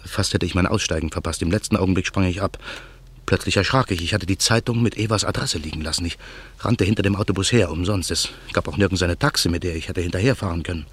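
A man's voice: deep voice, quick pace, slightly rough, dry recording. A man narrating and playing Daniel, a bitter man set on revenge, in an earnest, brooding radio play voice. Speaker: narrator and protagonist.

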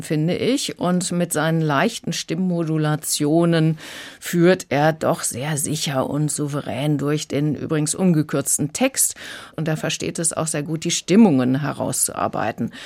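A woman with a distinctly low voice talks through an audiobook review for radio. She explains things in an articulate, conversational way. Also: a little mellow.

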